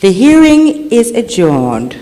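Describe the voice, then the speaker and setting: A woman's voice: mid-range voice, huge pitch swings, takes it slowly. A woman playing a proper, conciliatory lady presiding over a hearing in a staged radio play, speaking formally and persuasively. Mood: brisk, official. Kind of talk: old-time radio drama acting on stage. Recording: echoey hall, faint noise.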